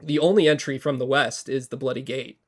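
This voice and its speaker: A man co-hosting a podcast, slightly hoarse from a cold, talking casually with lots of fillers and restarts. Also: mid-range voice, steady tone, brisk. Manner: rambling, many fillers and restarts. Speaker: podcast co-host. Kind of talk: casual history chat.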